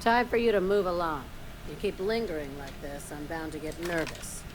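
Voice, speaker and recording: Low-voiced, curt woman boss, played by an actress. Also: normal speed, background noise, close to an everyday voice. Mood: quiet menace. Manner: dry, clipped, menacing. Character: curt, paranoid female boss, cold and sardonic. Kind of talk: video game voice acting.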